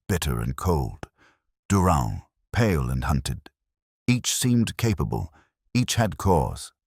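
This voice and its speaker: A man narrating a period mystery audiobook in a solemn, theatrical way with deliberate phrasing. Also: rumbling low, husky, dry recording, studio quality.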